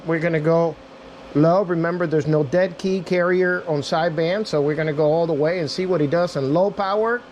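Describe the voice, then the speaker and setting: A man's voice: fairly high pitch, brisk, a little mellow, highs cut off, slight background noise. A man giving a casual, unscripted product demo in an informal way, talking straight to viewers as their reviewer. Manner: informal, addresses viewers as guys. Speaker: radio reviewer and demonstrator.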